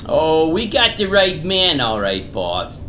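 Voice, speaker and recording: A deferential mob underling voiced by an actor in a comic radio play, anxiously reporting in slangy double negatives. The pitch jumps around widely and the room rings with echo. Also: big pitch swings, slow pace, sharp tone, heavily acted. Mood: deferential, grave. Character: deferential gangster underling Vinny, slangy, nervous bearer of bad news. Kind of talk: comic radio theater acting. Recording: roomy, echoing, faintly noisy recording.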